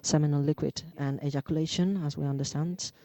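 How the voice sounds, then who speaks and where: Halting man giving a medical lecture. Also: on the high side, fairly even intonation, dark tone. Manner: halting, many fillers and restarts. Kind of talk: conference lecture.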